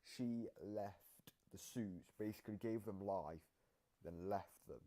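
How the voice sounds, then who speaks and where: A man hosting a reaction video, casually explaining game lore in a halting way that often trails off. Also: lowish voice, warm tone.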